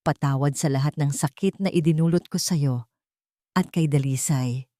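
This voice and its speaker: A woman voicing an apologetic, frightened adult man who begs forgiveness in a halting way, in an audio romance drama. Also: deep voice, dark tone, dry recording, studio quality, lightly acted. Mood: remorseful.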